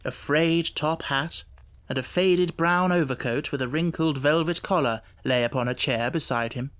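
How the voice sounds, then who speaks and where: A man narrating a classic story as an audiobook reader, in a measured, literary storytelling delivery. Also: on the high side, a bit bright.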